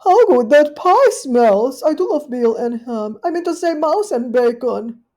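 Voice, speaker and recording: A woman's voice: high-pitched, expressive, a little slow, a little mellow, pitched above the performer's own voice. A woman voicing an anxious, greedy little female dog guest in a storybook reading, eager and fussy.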